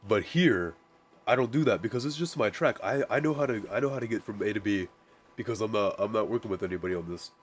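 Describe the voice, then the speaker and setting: A man with a naturally deep voice, casually walking through his music production setup, rambling with lots of "like" and restarts. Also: fast. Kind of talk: casual screen-share tutorial.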